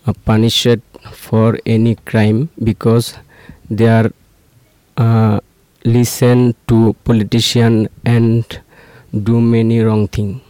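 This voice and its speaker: A young man with a Bangladeshi accent answering interview questions in halting, fragmented English as a student. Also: on the low side, level tone, warm tone, smooth, no room sound.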